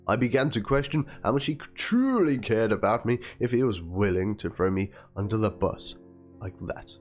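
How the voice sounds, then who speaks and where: Male reader as aggrieved young woman in a dramatic document read. Low pitch, plaintive, theatrical delivery, guitar music underneath. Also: lightly acted. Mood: hurt, pleading. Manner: theatrical, plaintive, spells out chat slang like "lol". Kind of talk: dramatic reading of a written document and chat logs.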